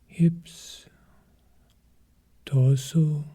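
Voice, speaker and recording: Very slow, dark-voiced man guiding meditation. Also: on the high side, breathy edge. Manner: calm, measured, short phrases with long pauses. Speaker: meditation teacher.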